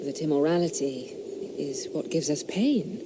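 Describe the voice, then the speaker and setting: An actress playing a bored, provocative young squire's wife in a period radio drama, teasing and coquettish. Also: female voice, sits low, animated, measured pace, noisy background.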